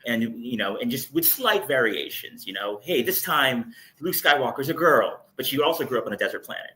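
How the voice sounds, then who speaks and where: A man's voice: medium pitch, animated, a little fast, pushed and bright, low-quality recording. A man speaking as a panel guest in a casual, opinionated discussion, building his points emphatically through repetition.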